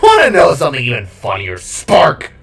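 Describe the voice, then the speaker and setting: A man voicing a defeated villain king in an audio drama, bitter and gleefully unhinged, with a groggy, drawn-out, rambling delivery. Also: fairly high pitch, wildly swooping pitch, creaky, a bit bright, far from the mic, voice pushed up, full character voice. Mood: gleeful, menacing. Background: music.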